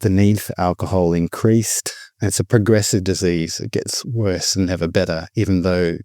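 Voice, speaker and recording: Deep low-voiced aussie guy, middle-aged, candid and reflective, dry close sound. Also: steady tone, normal speed, warm tone, dry recording. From born in the Philippines, raised abroad. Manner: reflective, candid, with frequent restarts. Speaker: guest sharing recovery story. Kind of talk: personal storytelling.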